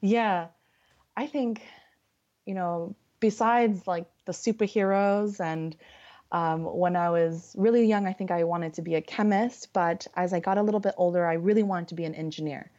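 A young woman with a Californian accent, a guest chatting casually, friendly and unhurried, with many fillers. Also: fairly low pitch, steady tone, warm tone. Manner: friendly, fillers and laughs, deliberate. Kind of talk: casual conversation. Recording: dull lo-fi sound.

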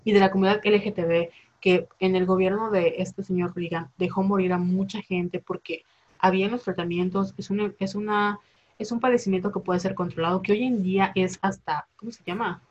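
A woman's voice: medium pitch, a little fast, muffled recording. Chatty Mexican woman in her twenties. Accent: Mexican Spanish. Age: 20s. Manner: rambling, animated, heavy slang and fillers. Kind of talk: casual chat.

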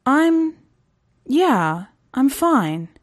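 Lady reading lost explorer in a sci-fi audiobook, polite n hesitant, pitched up a bit, slow. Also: very animated, full character voice. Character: lost, hesitant city explorer searching for a dream. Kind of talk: audiobook character dialogue.

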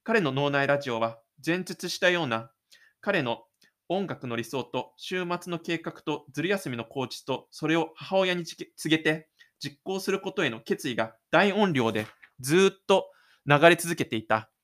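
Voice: mid-range voice, lively intonation, normal speed, fairly bright tone. A narrator reading scripted third-person storytelling for an audio drama.

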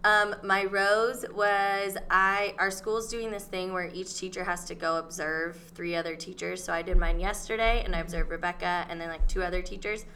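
Breezy lady podcast host just chatting, bright voice, little bit of background noise. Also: on the low side, little pitch movement, normal speed, few pauses. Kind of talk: casual podcast chat.

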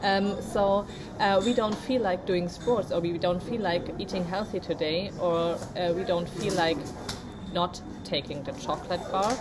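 A woman co-hosting a casual motivational podcast, speaking in halting English with non-native phrasing and many fillers. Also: fairly low pitch, noisy background. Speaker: podcast co-host.

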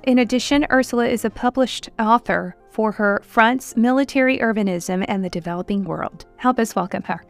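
A woman's voice: a little high, moderate pace, hardly any pauses, soft background music. A woman hosting a podcast, reading a scripted introduction and a formal guest biography. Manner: reads a formal guest bio. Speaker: podcast host.

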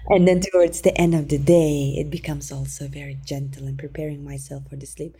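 A woman who teaches singing, talking in an interview in calm, gentle, halting non-native English with many fillers. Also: deep voice. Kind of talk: conversational interview.

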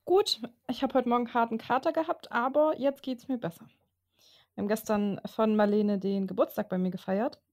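A woman's voice: on the high side, fairly even intonation, moderate pace, darkish tone. A young woman chatting casually as a podcast co-host, teasing, laughing often and using slang.

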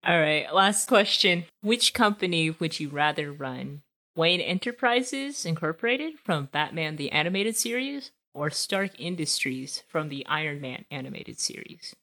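Woman, host, casual, animated, bright tone, lowish pitch. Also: measured pace. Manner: laughs easily, animated. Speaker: host asking questions. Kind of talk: casual chat.

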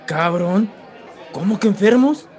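A man's voice: sits high, lively intonation, takes it slowly, a little mellow, long reverb tail, a faint crowd. An actor playing a folksy, jocular rural man with a northern Mexican accent and wry, slangy talk in a radio drama. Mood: teasing surprise. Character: folksy rural man narrating his misadventure, jocular, teasing. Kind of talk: radio drama narration and dialogue.

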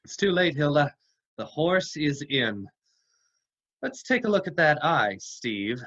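A man's voice: a little high, sing-song, slow pace, a bit bright, breathy edge, heavily acted. Dogged hard-boiled sleuth, clipped and wry, radio drama, lo-fi sound, male actor. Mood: accusing. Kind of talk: vintage radio drama acting.

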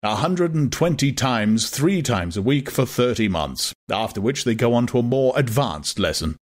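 A man's voice: medium pitch, lively intonation, normal speed, pitched above the performer's own voice, natural voice. Man acting a pompous, emphatic male director. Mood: pompous, instructive. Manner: emphatic lecturing. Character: pompous, domineering male director lecturing students. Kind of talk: dramatized audiobook character.

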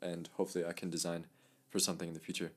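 A young man with a very low voice talks through a relaxed podcast chat. He rambles with fillers and laughs easily. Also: racing. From Mexico. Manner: chatty, laughs often, many fillers. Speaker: podcast co-host. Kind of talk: casual chat.

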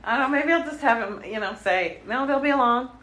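A woman's voice: sits high, animated, fast talker, fairly bright tone. A woman talking casually within a small writing group. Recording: echoey room, faint noise.

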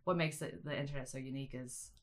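Very low-voiced woman guest, rambling. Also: steady tone, fast. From South Africa. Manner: rambling, many restarts and ums. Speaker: guest, media studies teacher. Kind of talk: conversational interview answers.

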